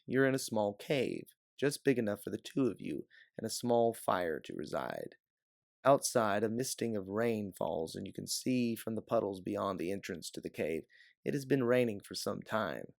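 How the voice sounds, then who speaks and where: A man's voice: lowish voice, vocal fry, dry recording, clean studio sound. A man reading scripted second-person adventure narration as an audiobook narrator.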